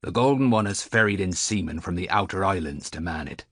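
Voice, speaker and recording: A man's voice: deep voice, lively intonation, fairly quick, a bit bright, slightly rough, no room sound, studio quality, heavily acted. A man voicing a superstitious old male sailor on a harbour beach, stiff and scornful in manner.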